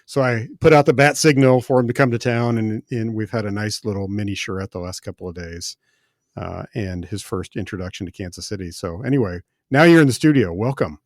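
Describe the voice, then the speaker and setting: Relaxed midwestern male podcast host. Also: on the low side, a little fast, no echo, clean studio sound. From American Midwest. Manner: relaxed, friendly, many filler words. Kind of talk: casual interview chat. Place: recording studio.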